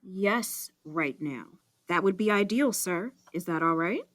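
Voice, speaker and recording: A woman's voice: lowish voice, expressive, measured pace, a bit bright, no echo. An actress playing a firm, courteous female police detective in an audio drama. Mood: polite, insistent.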